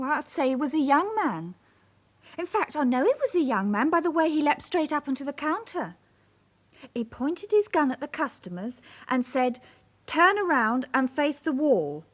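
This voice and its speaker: Young bank cashier, high voice, precise, composed, matter-of-fact, radio drama. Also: female voice. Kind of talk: British radio drama acting.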